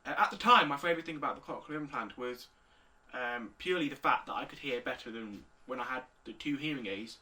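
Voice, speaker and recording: An eighteen-year-old man, calmly answering interview questions with pauses. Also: mid-range voice, recorded from a distance. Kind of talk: recorded video interview answers.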